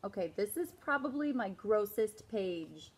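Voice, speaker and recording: A woman in her forties, a teacher, reading a picture book aloud from indoors and pausing to explain the pages, with a slow, emphatic parent's voice for the scolding lines. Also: mid-range voice, very animated, husky. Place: indoors, small room before a plain wall.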